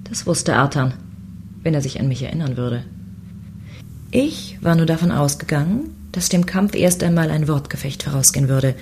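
A woman playing a proud, combative chief space commissioner, crisp and sardonic, in a science fiction radio play. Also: low voice, darkish tone, slight background noise. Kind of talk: radio play dialogue and inner monologue.

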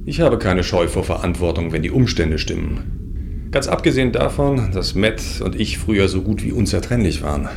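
A man's voice: low-pitched, animated, moderate pace, vocal fry, noisy background. A man playing a confident, grandiose sect leader in a science fiction radio play, speaking in a lofty, solemn way. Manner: self-assured, solemn, lofty. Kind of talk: radio play dialogue and first-person narration.

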